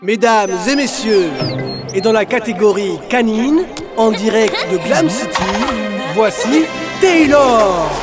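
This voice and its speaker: A theatrical, boastful children's cartoon figure. The delivery is declamatory and lively in pitch, sitting somewhat high, with music behind it. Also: female voice, slow pace. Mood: showy, formal. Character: theatrical boastful hairstylist and formal contest announcer.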